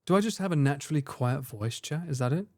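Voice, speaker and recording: A man's voice: fairly high pitch, expressive, fast, a little mellow, no room sound, studio quality. A man reacting to viewer clips on a live stream, chatting loosely with his audience, laughing easily and swearing casually.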